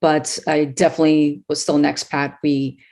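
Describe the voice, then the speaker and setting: A woman in her forties from California, a guest author giving measured, plainspoken answers in a casual interview. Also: sits low, flat delivery, warm tone. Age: 40s.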